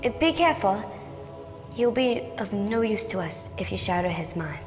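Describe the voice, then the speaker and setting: A woman's voice: medium pitch, sing-song, normal speed, lightly acted. A woman playing an alarmed, disapproving, cautious companion in an audio drama. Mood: anxious warning. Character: indignant, cautious female companion of a villain. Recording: music underneath.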